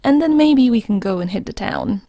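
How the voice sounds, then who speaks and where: Woman playing husky, creaky, supportive sister. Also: fairly high pitch, animated, moderate pace, warm tone, dry recording, studio quality. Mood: playful. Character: supportive, upbeat sister, eager for fun. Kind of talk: science fiction audio drama acting.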